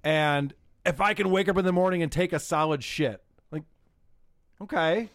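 A man's voice: a little high, normal speed, no room sound, clean studio sound. A man hosting a casual podcast, mocking and sarcastic as he picks apart jokes. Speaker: podcast host.

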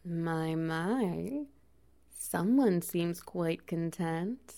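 A woman performing a dominant, doting male lover for an intimate audio roleplay. The voice is slow and unhurried, often drops to a breathy whisper, and has a dark, gravelly edge with soft laughs between praising lines. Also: on the low side, lively intonation, heavily acted. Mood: teasing, praising.

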